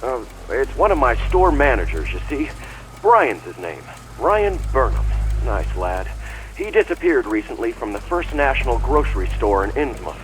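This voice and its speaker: Nervous businessman, deferential, hesitant, very lively pitch, video game dialogue, faint noise. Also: moderate pace, low-quality recording. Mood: nervous, polite. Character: nervous, polite male store manager's employer seeking help.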